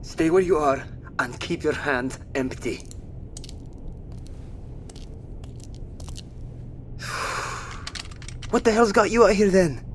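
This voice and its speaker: Insistent male survivor over faint rumble. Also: expressive, a little slow. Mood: wary. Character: urgent, wary male survivor tracking giant creatures, commanding but courteous. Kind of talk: naturalistic audio drama acting. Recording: faint low rumbling background noise.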